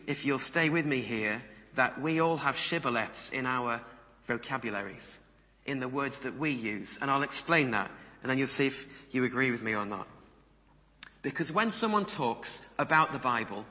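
A man's voice: mid-range voice, sharp tone. A man giving a sermon in a conversational manner, putting questions to his listeners. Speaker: preacher. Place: large reverberant room before a congregation. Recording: reverberant hall sound.

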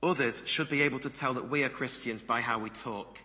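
Bright-voiced man preaching in a reverberant hall. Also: medium pitch, steady tone, a little fast. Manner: conversational, rhetorical questions to listeners. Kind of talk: sermon. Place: large reverberant room before a congregation.

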